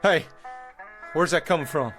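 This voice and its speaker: An actor playing a desperate, bewildered pioneer father in a radio drama, speaking haltingly in plain old-fashioned frontier phrasing. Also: a little high, a bit bright, faint music behind. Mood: puzzled, earnest. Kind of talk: vintage-style radio drama acting.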